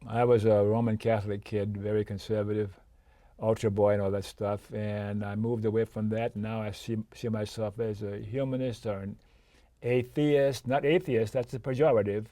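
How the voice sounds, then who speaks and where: A man's voice: fairly low pitch, little pitch movement, dark tone, no echo. Chatty older male interview host. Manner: chatty, jokey, quick to laugh. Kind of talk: casual interview.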